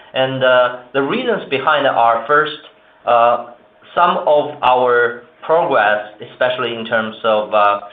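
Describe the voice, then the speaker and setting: A man speaking formally and in a measured way as a company executive on an earnings call. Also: mid-range voice. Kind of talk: earnings call remarks and answers. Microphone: conference call line. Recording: roomy, narrow phone-like conference line.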